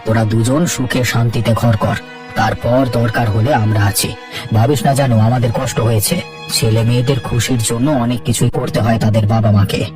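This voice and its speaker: A man's voice: mid-range voice, little pitch movement, a little fast, far from the mic, over music, lightly acted. A man acting a weary, caring farmer father. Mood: reassuring. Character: aging farmer father, resigned, caring, sorrowful. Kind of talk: cartoon dialogue acting.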